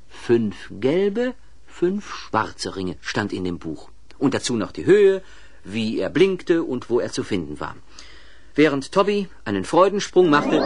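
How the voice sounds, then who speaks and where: Lively male storybook narrator. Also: mid-range voice, breathy edge. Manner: expressive storytelling. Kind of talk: scripted children's story narration.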